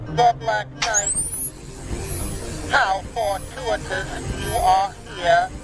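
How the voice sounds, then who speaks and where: A high-pitched, menacing machine villain in an audio drama, with loud music behind him. He declaims with pompous superiority, boasting of his own greatness. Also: fairly even intonation, very slow. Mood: haughty.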